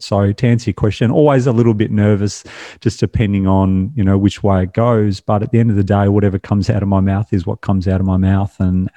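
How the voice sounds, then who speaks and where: Low, mellow Australian man. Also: no echo. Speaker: podcast guest. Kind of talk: casual conversational interview.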